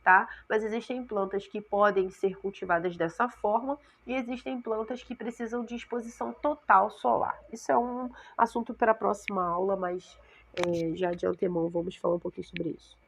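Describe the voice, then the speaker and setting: A woman's voice: medium pitch, steady tone, normal speed, gravelly, slight background noise. A woman with a Brazilian Portuguese accent teaching a science lesson to sixth graders, congested from a cold and often checking in with her class. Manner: explanatory, checks in with frequent "tá?" and "né?".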